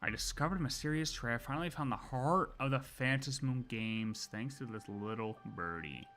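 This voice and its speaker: A man's voice: medium pitch, moderate pace, a bit bright, faint music behind. A man streaming a game with casual live reaction commentary, informally thinking aloud.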